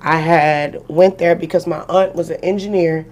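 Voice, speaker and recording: A woman's voice: lowish voice, steady tone, moderate pace, a little mellow. A woman in her forties, a podcast guest telling her story in candid, emphatic casual talk full of slang. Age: 40s. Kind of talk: casual chat. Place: podcast studio.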